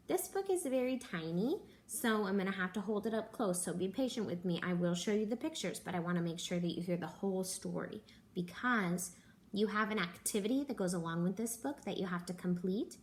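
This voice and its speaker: A young woman, a teacher, reading a picture book aloud to children at home in a gentle, animated storytelling manner. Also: medium pitch. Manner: gentle, animated storytelling with gasps and character voices. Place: living room at home.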